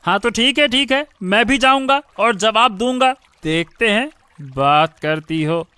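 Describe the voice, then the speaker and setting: A man playing an arrogant, accusing village bully in a Hindi animated moral story. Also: high voice, measured pace, clean tone, dry recording, exaggerated voice. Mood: defiant. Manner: haughty, accusing, taunting.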